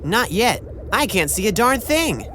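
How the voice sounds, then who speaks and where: A woman's voice: mid-range voice, very animated, unhurried, noisy background. A woman playing a reluctant, grumbling crewmate with a dry manner in an audio drama. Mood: tense. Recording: echoey, music behind.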